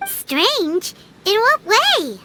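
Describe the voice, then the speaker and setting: Squeaky, very high little fairy sidekick. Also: female voice, huge pitch swings, drawn-out words, noisy background, heavily acted. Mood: puzzled, pert. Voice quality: squeaky, childlike. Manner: refers to self by name, pert questioning. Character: tiny childlike female fairy companion, chirpy and blunt. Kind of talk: video game voice acting.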